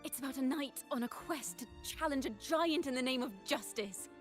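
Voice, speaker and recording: A woman's voice: high-pitched, moderate pace, natural voice. An actress voicing a spirited young female bard in a video game, telling tales in a bold, theatrical, declamatory way. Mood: playful storytelling. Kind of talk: dramatic game voice acting. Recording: game audio with music behind. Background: music.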